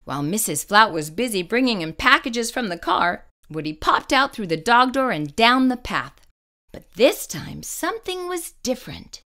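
A woman storyteller reading a children's picture book aloud, expressive and carefully phrased. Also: fairly high pitch, moderate pace.

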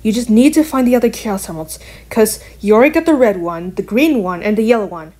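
A woman's voice: mid-range voice, animated, fast talker, a little mellow, close to an everyday voice. A woman voicing an earnest, wary young bat heroine in an amateur cartoon, talking in a casual, hesitant way. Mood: self-encouraging. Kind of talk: amateur animated voice acting.

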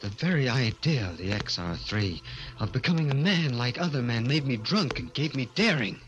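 A man's voice: medium pitch, big pitch swings, moderate pace, highs cut off, lightly acted. A man playing a haunted figure who narrates his own story intensely, in 1940s radio thriller style. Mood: resolute. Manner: dramatic, intense first-person narration. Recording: noisy old 1940s radio recording.

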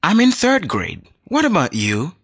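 A man reading scripted English dialogues and exercise questions for a learners' audio book, slow and clearly enunciated. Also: high-pitched, wildly swooping pitch, fairly bright tone, no echo, studio quality. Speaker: textbook audio reader.

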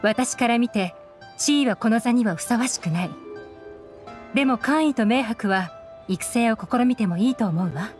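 A self-assured secret operative voiced by an actress talks in a fairly high, controlled voice. She is cool and measured, with a veiled edge, in game dialogue over faint music. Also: lightly acted. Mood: matter-of-fact. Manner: calm, measured, faintly threatening. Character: cool, composed female covert operative, shrewd and self-assured. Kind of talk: Japanese game voice acting.

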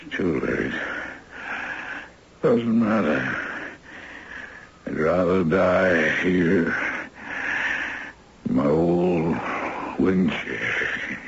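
An actor playing a dying old retired judge in a radio drama, courtly and weary, speaking haltingly. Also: male voice, deep voice, expressive, gravelly, breathy edge, exaggerated voice. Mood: weary, resolute. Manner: halting, drawn-out delivery. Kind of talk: 1970s radio drama acting. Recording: dull, faintly noisy 1970s radio recording.

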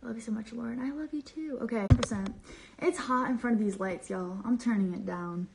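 A woman's voice: on the high side, a little fast, creaky, a little hiss or hum, poor audio. A young woman chatting casually on a livestream from her bedroom, reading viewer comments aloud in a bubbly, playful, rambling way full of slang. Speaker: social media creator on a live stream. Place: bedroom, small indoor room. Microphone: phone camera at arm's length.

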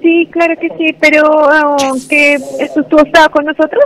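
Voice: high-pitched, level tone, moderate pace, a bit bright. A hotel receptionist speaking politely in a customer-service manner over a phone call. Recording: noisy phone call played through studio.

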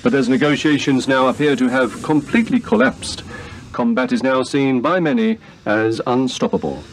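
An actor in a radio play playing an earnest war correspondent reading a grave news report. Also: male voice, mid-range voice, very animated, normal speed, faint music behind. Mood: grave, urgent.

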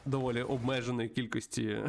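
Male game streamer, mid-pitched, mock-earnest, music underneath. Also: level tone, brisk, creaky. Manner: tongue-in-cheek, mock-earnest. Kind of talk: playthrough commentary with dramatic reading. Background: music behind the voice.

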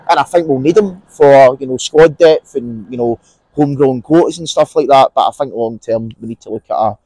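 A man's voice: medium pitch, no room sound, rough recording. Scottish man chatting football, dry lapel sound. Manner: relaxed, opinionated, many fillers and restarts. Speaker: podcast co-host. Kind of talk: casual football chat. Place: outdoors in an empty stadium stand. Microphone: lapel mic.

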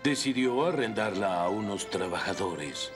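A man voicing an earnest, thoughtful Roman soldier in a children's cartoon, speaking in a measured way. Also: fairly low pitch, animated. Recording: music behind the voice.

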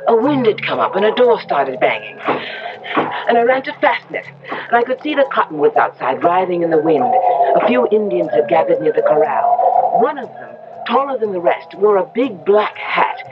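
An actress playing a headstrong, uneasy young ranch wife who narrates a 1970s radio drama with emotive, careful diction. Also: female voice, fairly low pitch, big pitch swings, normal speed, lo-fi sound, very noisy. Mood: tense narrating. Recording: band-limited old radio broadcast, music underneath.

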